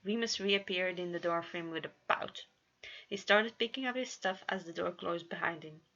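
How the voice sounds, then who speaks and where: A woman narrating a scripted story as an amateur reader, expressive, with small stumbles. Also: on the low side, moderate pace, dull audio. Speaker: amateur fan fiction reader.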